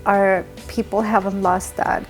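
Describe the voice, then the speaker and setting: A woman from Armenia, a charity guest, talking earnestly with many halting restarts. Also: mid-range voice, little pitch movement, soft background music. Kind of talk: conversational interview.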